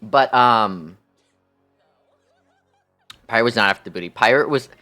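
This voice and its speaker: Sassy, bright-voiced male streamer. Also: medium pitch, a little slow, faint music behind. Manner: sassy, campy, profane slangy talk. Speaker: live streamer. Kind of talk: casual livestream chat.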